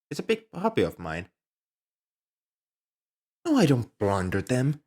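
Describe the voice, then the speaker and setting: A man playing an ancient, polite, nerdy dragon who collects coins, speaking earnestly and a little primly to the listener in an ASMR roleplay. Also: on the low side. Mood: proud, fond, then miffed, chiding. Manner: polite, earnest, slightly prim and scholarly. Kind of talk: ASMR roleplay, one-sided dialogue with the listener.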